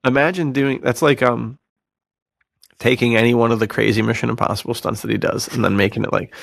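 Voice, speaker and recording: A man's voice: mid-range voice, steady tone, a little fast, gravelly, no room sound. A man co-hosting a podcast in casual chat, with a laid-back, joking way of talking full of bro slang. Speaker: podcast co-host. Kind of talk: casual chat about books and films.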